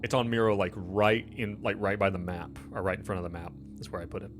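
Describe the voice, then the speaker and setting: A man's voice: deep voice, steady tone, fast talker. A man hosting a tabletop game session as game master, bantering casually with his players and joking with some swearing. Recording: music playing behind the voice. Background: music.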